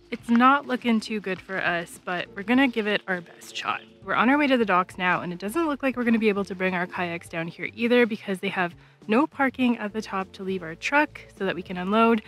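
A woman's voice: mid-range voice, fairly bright tone. A woman, giving casual travel vlog narration. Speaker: travel vlogger.

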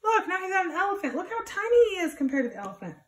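A woman's voice: very high-pitched, big pitch swings, racing. Woman reading aloud, echoey room. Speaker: storybook reader for children. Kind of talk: expressive read-aloud with simple explanations for children. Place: small plain indoor room. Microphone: phone or camera a few feet away. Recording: echoey room, distant phone mic.

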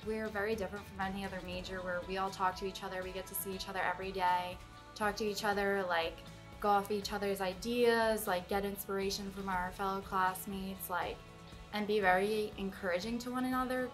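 A woman's voice: medium pitch, steady tone, brisk, faint music behind, low-quality recording. A woman in her twenties from New York, a design student speaking enthusiastically. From Long Island, New York. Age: 20s.

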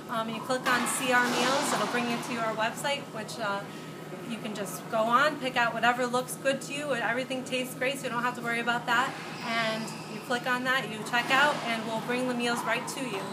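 Young woman kinda high voice, talks thru her biz, lots of background hum. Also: fairly even intonation, brisk. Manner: friendly, explanatory, some ums. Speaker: catering business owner. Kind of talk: casual interview. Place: bright indoor room with glass wall. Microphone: far camera. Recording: camera audio with loud background noise.

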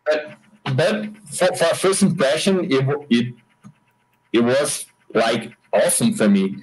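A man's voice: mid-range voice, big pitch swings, unhurried, husky, low-quality recording. A man from Brazil, Portuguese native, speaking English with a Brazilian accent as an interview guest, hesitant with many restarts. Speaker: interview guest, game designer. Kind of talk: casual interview over a call.

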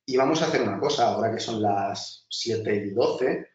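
Chatty instructor, distant roomy audio. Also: male voice, fairly low pitch, expressive, moderate pace, lo-fi sound. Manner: chatty, many restarts and filler, addresses class directly. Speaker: design instructor. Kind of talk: webinar lecture over slides.

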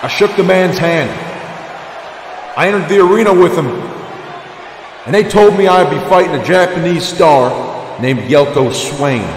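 A man voicing a gruff, bearded wrestler who went from drifter to champion, cutting a blunt, defiant promo full of swearing. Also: fairly high pitch, normal speed, pauses often, reverberant, long reverb tail, a little hiss or hum, lightly acted. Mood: grim. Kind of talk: fan-voiced wrestling game promo.

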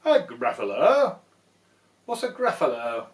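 A man's voice: a little high, wildly swooping pitch, slow pace, roomy sound, far from the mic, full character voice. A man voicing a genteel, hungry storybook owl who exclaims theatrically and is easily flustered. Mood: startled.

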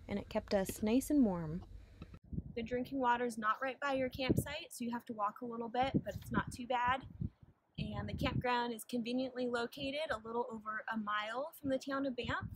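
A young adult woman narrates a travel vlog in a casual, practical way. Her voice sits fairly high. The sound is outdoor camera audio with noticeable noise behind her. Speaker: travel vlogger.

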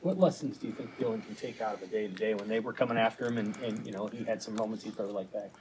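A man, a reporter, asking halting, hesitant questions at a press conference. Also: on the low side, background noise.

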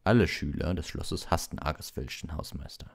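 A man with an extremely low voice reads a storybook for bedtime. His delivery is relaxed, in the manner of a live audiobook reader. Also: moderate pace, vocal fry, husky.

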